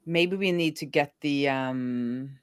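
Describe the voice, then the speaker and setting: A woman's voice: rumbling low, slow pace, clean tone, dry recording, studio quality. A Norwegian woman with an American-sounding English accent, chatting casually while she codes on a live stream and thinking aloud with many fillers. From Norway. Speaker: developer co-hosting a live build stream. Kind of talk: casual live coding chat.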